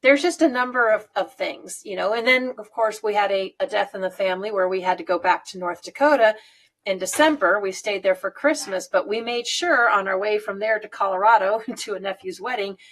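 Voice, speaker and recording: A woman's voice: medium pitch, fairly quick, fairly bright tone. A woman in her fifties from the United States, chatting casually, rambling and laughing often. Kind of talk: casual chat.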